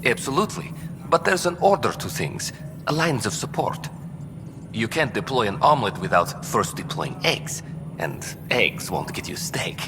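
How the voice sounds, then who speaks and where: An actor playing a composed program official who explains things in a measured, bureaucratic way in a video game. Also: male voice, medium pitch, big pitch swings. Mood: helpful, animated. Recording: long hall reverb, music behind.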